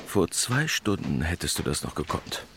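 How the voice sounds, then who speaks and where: An actor playing an evasive, guarded author and former lover, speaking in a terse, understated way in an intimate literary radio play. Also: male voice, rumbling low, very animated, moderate pace, noisy background, heavily acted. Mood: subdued, guarded. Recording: music clearly behind the voice.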